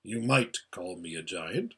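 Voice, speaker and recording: A man voicing an unseen great lion in a storybook, solemn and measured, with a large deep voice. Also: animated, husky, exaggerated voice. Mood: calm, solemn.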